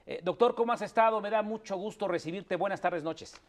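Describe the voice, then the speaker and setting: A man's voice: high-pitched, brisk. A man hosting a radio talk show, framing questions with many hesitant fillers. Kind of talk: hosting a panel and phone interview.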